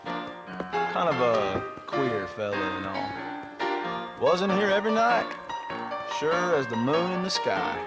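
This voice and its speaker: An actor playing a sleazy, sly saloon drunk with an American Western accent in a video game. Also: male voice, mid-range voice, big pitch swings, slow, gravelly, pauses often, heavily acted. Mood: evasive. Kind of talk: game character acting. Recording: loud music behind.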